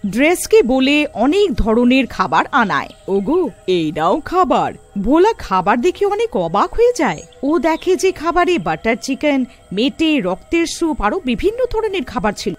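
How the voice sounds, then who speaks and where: A woman's voice: high voice, big pitch swings, normal speed, faint music behind. A woman narrating a scripted cartoon tale as a storyteller.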